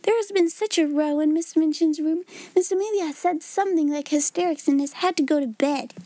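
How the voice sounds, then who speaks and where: A woman voicing excited, curious schoolgirls with eager, exclamatory questions in a storybook reading. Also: high-pitched, a little fast, no room sound, pitched above the performer's own voice, natural voice.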